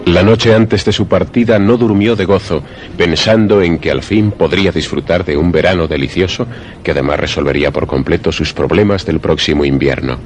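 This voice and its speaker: Very deep-voiced male suspense narrator. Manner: measured, literary, theatrical. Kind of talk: scripted suspense radio drama narration.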